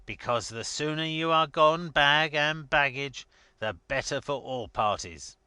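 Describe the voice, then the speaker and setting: A man's voice: on the high side, measured pace, bright, forceful tone, clean tone, dry recording, pitched above the performer's own voice. A man voicing a blustering, scornful older rich man in a dramatic story reading, emphatic and mocking.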